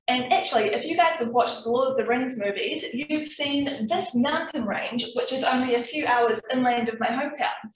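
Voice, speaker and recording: A woman's voice: on the high side, a little hiss or hum. A young woman with a New Zealand accent, giving a friendly public science talk to a live audience. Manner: friendly, chatty, addresses audience directly. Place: large echoey lecture room. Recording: echoey, distant, dull room recording.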